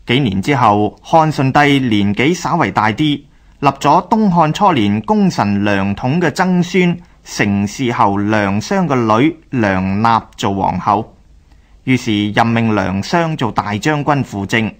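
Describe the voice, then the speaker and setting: A man's voice: mid-range voice, lively intonation, a little slow. Hong Kong Cantonese man narrating history. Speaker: radio drama narrator.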